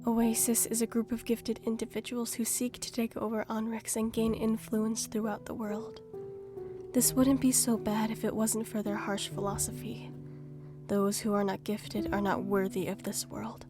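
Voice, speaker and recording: A woman playing a young gifted fugitive who narrates her own story in a measured, earnest audio drama style. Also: fairly high pitch, little pitch movement, over music, close to an everyday voice. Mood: matter-of-fact. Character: young gifted female fugitive, wistful and plain-spoken. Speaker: narrator and lead. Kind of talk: scripted first-person audio drama narration.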